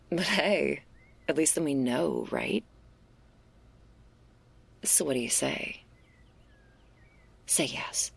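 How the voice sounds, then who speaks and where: A woman playing a laid-back werewolf woman, gravelly creak, husky rasp, guarded yet flirtatious, casual slang, intimate ASMR roleplay. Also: sits low, no echo, exaggerated voice. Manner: casual, hesitant, slangy confiding.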